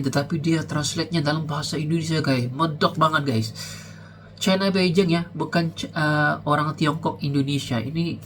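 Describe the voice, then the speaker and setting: A man's voice: fairly high pitch, slight background noise. Malaysian male reaction host, casual and rambling, fillers throughout. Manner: chatty, hesitant, many fillers.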